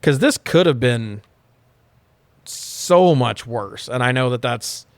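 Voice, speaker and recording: Male co-host, medium pitch, informal and wordy, thinks out loud in casual discussion, very little room sound. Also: expressive, slow pace, dry recording. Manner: rambling, informal, swears casually. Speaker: podcast co-host reviewing movies.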